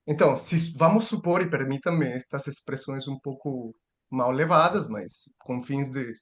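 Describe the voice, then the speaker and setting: A man's voice: medium pitch, measured pace, a bit bright. A man giving a seminar lecture, thinking aloud with restarts and rhetorical questions.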